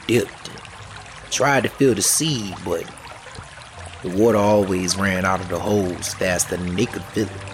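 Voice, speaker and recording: A storyteller retelling a folk tale in a folksy, dramatic way, with music behind. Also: deep voice. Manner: folksy, dramatic, repetitive chanting delivery.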